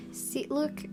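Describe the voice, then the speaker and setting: A woman hosting a let's play, reading visual novel text aloud and adding casual comments. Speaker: gaming video host. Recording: game music under the voice.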